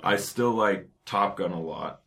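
A man's voice: deep voice, measured pace, vocal fry, a bit bright. A young man in casual, opinionated conversation, bantering as a student podcast host. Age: young adult. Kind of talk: casual chat.

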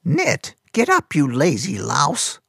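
A man's voice: fairly high pitch, wildly swooping pitch, slow pace, vocal fry, fairly bright tone, breathy edge, no room sound, clean studio sound, voice pushed up, full character voice. A man voicing a cruel, scheming old male master who barks and sneers at his servant boy. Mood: harsh scornful. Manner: harsh, barking, contemptuous.

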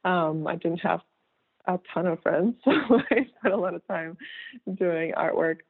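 A woman's voice: lowish voice, a little slow, creaky, slightly rough, no echo. A woman in her thirties from Kazakhstan, a native Russian speaker, chatting casually as an interview guest, rambling with fillers and restarts and laughing easily. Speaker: guest artist. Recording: tinny phone-like line.

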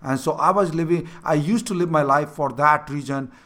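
A man's voice: on the high side, moderate pace, fairly bright tone. A man with an Indian accent, Hindi his first language, earnestly coaching a younger person on leadership and repeating his key points. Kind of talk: mentoring conversation.

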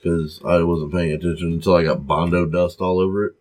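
A man's voice: low-pitched, steady tone, fairly quick, a little mellow. Profane rambling man. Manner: rambling, swears a lot. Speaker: podcast host. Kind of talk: casual profane chat.

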